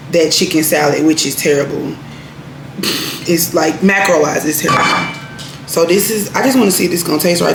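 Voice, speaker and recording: A young woman, chatting casually and laid-back in her vlog, laughing easily. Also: on the low side. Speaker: vlogger. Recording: echoey room with noise.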